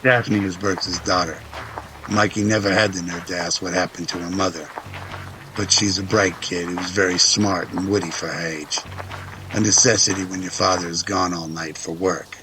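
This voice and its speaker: A man's voice: sits low, steady tone, normal speed, noisy background. A man reading scripted narration for an audio drama.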